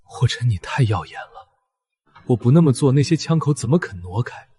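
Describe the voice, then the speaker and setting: Animated drama role: man as devoted young lover, quiet, restrained, sorrowful and pleading. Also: mid-range voice, moderate pace, lightly acted. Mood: sorrowful resolve. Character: self-sacrificing, tender, sorrowful young male lover. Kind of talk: animated melodrama acting.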